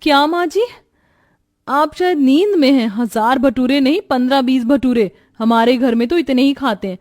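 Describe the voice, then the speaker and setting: A woman's voice: high-pitched, normal speed, darkish tone, lightly acted. A woman voicing a young, put-upon new bride who complains and protests plaintively in a cartoon story. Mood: disbelieving.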